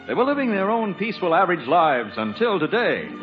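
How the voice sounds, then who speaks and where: Animated, high-voiced American male radio announcer. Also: a little fast. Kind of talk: 1950s radio announcing, scripted narration. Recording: dull old radio broadcast, loud music, audience.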